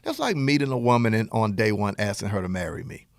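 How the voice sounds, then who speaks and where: A man with a Southern American accent, an energetic and folksy business coach talking straight to his viewers. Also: lowish voice, fast talker, creaky, dry recording, clean studio sound. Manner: energetic, animated, folksy with jokes and laughs. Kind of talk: direct-to-camera teaching talk.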